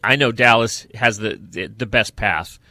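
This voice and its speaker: A man hosting a sports podcast, talking football in a halting way with repeated words. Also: lowish voice, pushed and bright, no echo. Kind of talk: football discussion.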